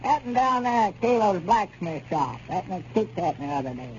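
An actor playing a naive, literal-minded old country storekeeper with a rural Arkansas accent and a folksy, rambling manner in a 1930s radio comedy. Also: male voice, sits high, big pitch swings, normal speed. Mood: puzzled, rambling. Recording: muffled, noisy 1930s radio recording.

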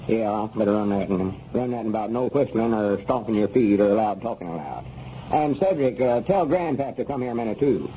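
A man's voice: lowish voice, brisk, mellow, natural voice. An actor playing a kindly older country man running a theater box office, with a rural Southern drawl and hesitant, homespun talk in a 1930s radio comedy serial. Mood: matter-of-fact. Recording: dull, noisy 1930s radio recording.

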